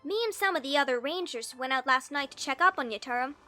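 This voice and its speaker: Small female fairy ranger, played by a woman: very high, bright voice, feisty and bold, audio drama. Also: animated, quick pace, soft background music. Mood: insistent.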